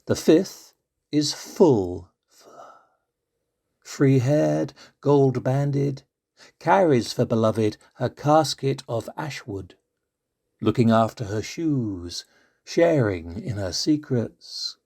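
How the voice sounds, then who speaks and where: A man from London with an English accent, reciting poetry as a deliberate storyteller with weighted pauses. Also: medium pitch, lively intonation, vocal fry, mellow. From London, England. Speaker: poet and actor.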